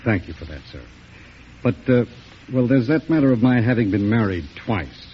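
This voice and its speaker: An actor playing a loyal, deferential politician in an old-time radio drama, speaking in a respectful, measured, slightly hesitant way. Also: male voice, medium pitch, lively intonation, natural voice. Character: loyal, deferential Southern male politician with a past. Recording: muffled, noisy vintage radio recording.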